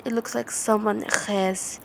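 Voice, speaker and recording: A woman doing ASMR sleep-aid storytelling and poetry reading, halting and repeating words as she goes. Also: medium pitch, fairly even intonation, vocal fry, a little hiss or hum, rough recording. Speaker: ASMR roleplay performer.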